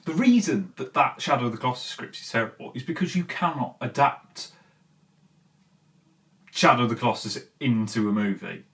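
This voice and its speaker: A man presents his opinions as a host would in a video essay. His medium-pitched voice is conversational and informal, with emphatic stresses and the odd mild swear. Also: expressive, normal speed, a bit bright. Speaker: host of a film and games commentary show.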